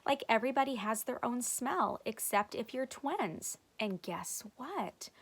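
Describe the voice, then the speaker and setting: Storybook kid brother, played by a woman: boastful, eager, emphatic, pitched up and animated. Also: unhurried, breathy edge, heavily acted. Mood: excited. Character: boastful excitable young boy proud of his nose.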